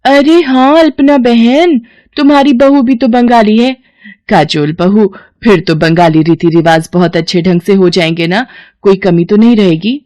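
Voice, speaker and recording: A woman's voice: medium pitch, normal speed, mellow, natural voice. A woman voicing a flustered, anxious middle-aged neighbour in a family story. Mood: hopeful.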